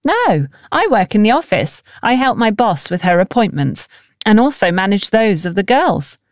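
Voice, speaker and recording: A woman's voice: medium pitch, very animated, normal speed, dry recording, studio quality, close to an everyday voice. A woman voicing a proud, self-assured young agency assistant in an audiobook story. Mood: proud, persuasive. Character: proud older village girl working as agency assistant. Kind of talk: audiobook character dialogue.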